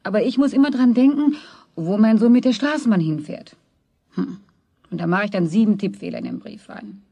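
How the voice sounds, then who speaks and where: Worried mum for a seventies children's audio drama, warm timbre, pleading and reproachful. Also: female voice, mid-range voice, animated, brisk, creaky. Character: worried, probing mother of two, office worker. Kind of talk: German radio play for children, 1970s.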